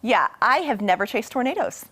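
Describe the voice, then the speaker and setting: A woman's voice: on the high side, big pitch swings, brisk, fairly bright tone, breathy edge. A woman who works as a TV meteorologist, chatting casually and playfully on a morning show.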